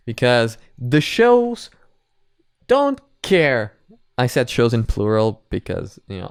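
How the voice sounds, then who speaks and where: A man's voice: mid-range voice, smooth, no echo, studio quality. A man giving casual, blunt and sweary reaction commentary as a video host.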